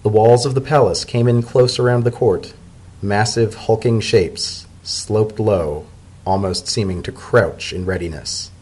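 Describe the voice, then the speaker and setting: A man serves as narrator in a dramatic reading of a story. His voice sits fairly low, with a husky edge. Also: measured pace, a little mellow.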